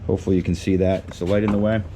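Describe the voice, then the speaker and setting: A man with a fairly low voice narrates a hands-on repair in a casual way. He talks in short, muttered steps as he works. Tools clank and workshop noise is clearly heard around him. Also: little pitch movement, racing. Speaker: DIY mechanic demonstrating a repair test. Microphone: handheld camera moved around while working.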